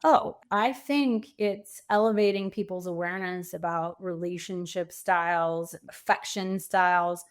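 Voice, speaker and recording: A woman speaking as a coach and podcast guest, reflective and conversational, with emphatic phrasing and deliberate pauses. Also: lowish voice, steady tone, smooth. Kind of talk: conversational interview.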